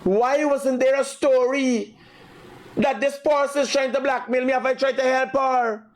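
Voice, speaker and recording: High-pitched Guyanese man, live show host. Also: steady tone, measured pace, rough recording. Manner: emphatic, repetitive, accusatory. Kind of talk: opinionated live commentary.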